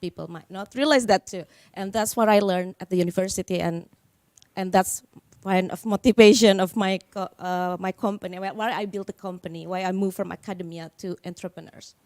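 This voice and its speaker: A woman's voice: medium pitch, fairly even intonation, fairly quick, a little mellow, dry recording. A woman from Indonesia in her thirties, a startup founder on a panel, explaining plainly with fillers. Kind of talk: panel talk. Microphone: handheld.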